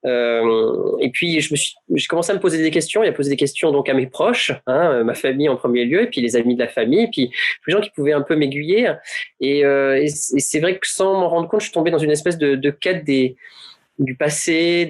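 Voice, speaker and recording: A man from France, an author and illustrator, answering questions in a panel conversation, hesitant with many fillers and restarts. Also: on the high side.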